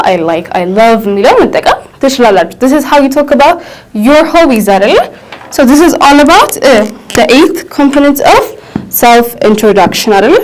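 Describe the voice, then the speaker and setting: Fast-talking Ethiopian woman teaching English. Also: high voice, slight background noise, low-quality recording. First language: Amharic. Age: 20s. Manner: quick, switches between languages, slows to spell out English phrases. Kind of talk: bilingual English lesson.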